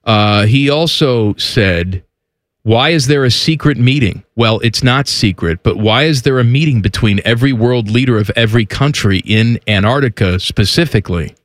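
A man's voice: mid-range voice, moderate pace, dry recording, studio quality. A man hosting a casual sports talk show, mocking and sarcastic in tone.

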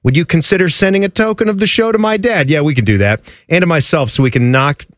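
A man's voice: a little high, no room sound, clean studio sound. A man co-hosting a sports radio show, casually joking and reading listener email aloud. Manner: jokey, reads letters aloud, quick comebacks.

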